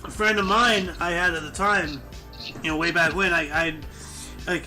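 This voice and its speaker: Bright, high-voiced rambling man. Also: normal speed, soft background music, low-quality recording. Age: 30s. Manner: rambling, many fillers like "you know". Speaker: podcast host. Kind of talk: casual group chat.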